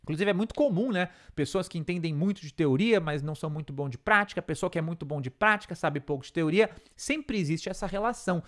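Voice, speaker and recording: A man's voice: a little high, fast talker, hardly any pauses. A man with a Brazilian Portuguese accent teaching an English lesson, explaining step by step and checking in with frequent tag questions.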